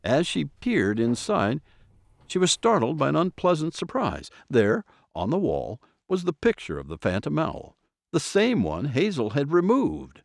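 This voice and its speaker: A man's voice: fairly high pitch, creaky, no echo, studio quality. A man narrating an audio drama in a suspenseful storytelling manner with dramatic emphasis. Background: music soundtrack.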